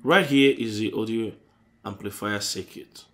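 A man hosting an electronics tutorial, explaining circuit diagrams on paper in a halting, self-correcting way. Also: lowish voice, a bit bright, a little hiss or hum.

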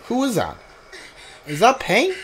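A man gives casual commentary as he streams a video game. His voice is high, he talks haltingly and repeats words, and there is noticeable noise in the background. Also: huge pitch swings, a bit bright.

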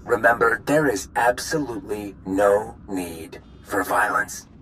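A man's voice: on the low side, fairly bright tone, a little hiss or hum, poor audio. An actor playing a brazen pirate boss in a video game, blustering and offhand as he broadcasts ransom demands. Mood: bored menace. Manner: blustering, offhand, slow delivery with self-interruptions.

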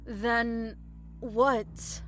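Pleading, fretful young woman thief, high-pitched, unhurried, expressive, radio-play style. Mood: pleading, afraid. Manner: worried, pleading. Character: anxious, pleading young female reluctant thief. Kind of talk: audio drama acting. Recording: music bed behind dialogue.

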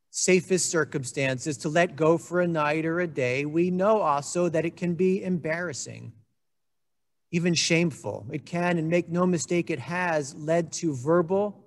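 A man in his forties delivers a sermon in a steady, earnest way, now and then slipping in a dry aside. He speaks into a desk microphone, and his somewhat high voice trails off into a long reverb. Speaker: congregation rabbi. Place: indoor room with a wood-panelled wall. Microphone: desk microphone on a stand.

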